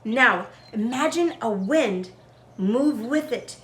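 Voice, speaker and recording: A woman reading the part of a kindly, encouraging man in a storybook, gentle and measured. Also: a little high, lively intonation, roomy sound. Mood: guiding.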